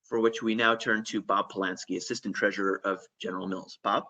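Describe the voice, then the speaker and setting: A man's voice: on the low side, steady tone, brisk, bright, forceful tone. A man presenting a webinar in a scripted, businesslike style. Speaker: webinar presenter handing over to next speaker.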